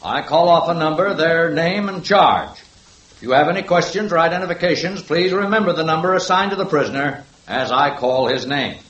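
A brisk, commanding radio police sergeant, higher-pitched voice, echoey old broadcast. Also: male voice, animated, a bit bright, highs cut off. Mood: firm, official. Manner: firm, orderly, barks instructions. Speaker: police sergeant running the lineup. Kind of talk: 1950s radio drama acting.

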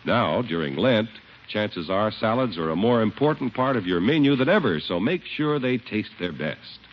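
A man's voice: lowish voice, expressive, normal speed. A man announcing in 1950s radio style, reading scripted advertising and narration with emphatic salesman phrasing. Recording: muffled 1950s radio recording, faint noise.

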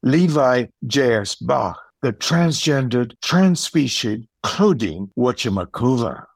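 A man reading scripted narration for an audio play in a measured storytelling manner. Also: mid-range voice, expressive, gravelly, dry recording.

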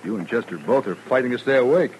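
An actor playing a restless former soldier in an old-time radio western, earnest and well-spoken with an American accent. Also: male voice, mid-range voice, expressive, fast talker, darkish tone, a little hiss or hum, lightly acted, poor audio. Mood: impatient, questioning. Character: restless, bored ex-soldier seeking a marshal's job. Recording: old radio drama recording.

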